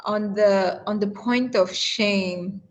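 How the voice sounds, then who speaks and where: A woman's voice: medium pitch, fairly even intonation, slow pace. A woman who grew up in Cameroon, moderating a panel discussion over a video call and speaking earnestly. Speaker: webinar moderator.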